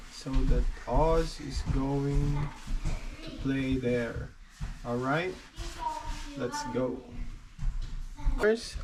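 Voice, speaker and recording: A Filipino-accented man chats in a relaxed, playful vlog voice, taking his time over each phrase. His pitch moves around a lot as he talks. Loud traffic noise from the vehicle sits under everything. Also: gravelly. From Philippines. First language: Tagalog. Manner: playful, laughs often. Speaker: vlogger. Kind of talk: casual vlog chat.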